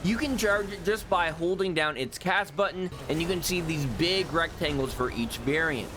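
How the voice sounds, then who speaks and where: A man's voice: fairly high pitch, normal speed, music in the background. Joking young man narrating gameplay. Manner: offhand, joking, swears. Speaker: gaming YouTuber. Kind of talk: casual game commentary.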